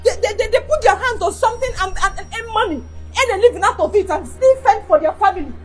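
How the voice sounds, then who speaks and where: A woman's voice: very high-pitched, sing-song, normal speed, faint music behind. Woman playing a nagging, scornful wife. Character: scolding wife demanding money from injured husband.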